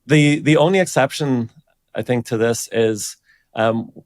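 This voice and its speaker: A man giving an informal technical explanation as an interview guest, thinking aloud in a halting way. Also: mid-range voice, no room sound. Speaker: engineering director, interview guest.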